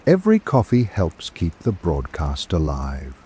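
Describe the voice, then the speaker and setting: A man hosting and narrating in a scripted old-time radio drama style, with dramatic, measured delivery. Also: a bass voice, huge pitch swings, warm tone, a little hiss or hum.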